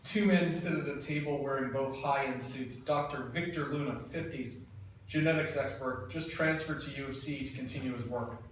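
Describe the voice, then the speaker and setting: Brief: male narrator, flat direction reading, faint room noise. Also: medium pitch, normal speed. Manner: plain, matter-of-fact. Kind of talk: reading screenplay stage directions. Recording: echoey room, mic far off, faint noise.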